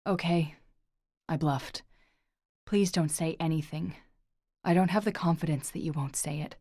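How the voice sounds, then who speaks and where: A woman's voice: low-pitched, unhurried, mellow, dry recording, clean studio sound. A woman voicing an intense, protective young man who speaks emphatically. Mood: pleading. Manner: pleading, emphatic.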